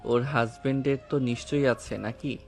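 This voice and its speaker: A young police officer husband played by a man in a romantic audio drama. He is commanding and confrontational, protective and angry, in a medium-pitched voice with faint music underneath. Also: steady tone, moderate pace, vocal fry, close to an everyday voice. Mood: firm, challenging.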